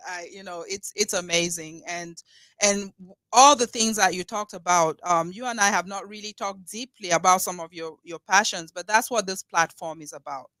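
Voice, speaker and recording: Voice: mid-range voice, no echo. A podcast host leading a casual interview, rambling a little and restarting sentences often. The voice sits in the middle range. The sound is dry and close, with no room around it. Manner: warm-hearted, rambling, with frequent restarts and laughs.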